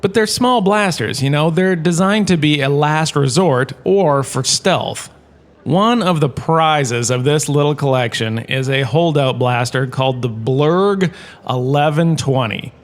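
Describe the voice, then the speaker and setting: A man's voice: a little high, a little slow, natural voice. A man playing an enthusiastic, quirky lecturer, a washed-up star, in a scripted comedic audio drama, chatty and full of showy asides. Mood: enthusiastic, informative.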